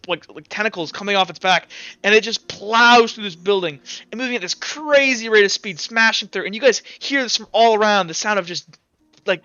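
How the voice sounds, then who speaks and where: Bright-voiced man running a tabletop game. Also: sits high, dry recording. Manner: halting, repeats words while describing. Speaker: game master. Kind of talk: improvised tabletop game narration. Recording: dull audio, faint background music.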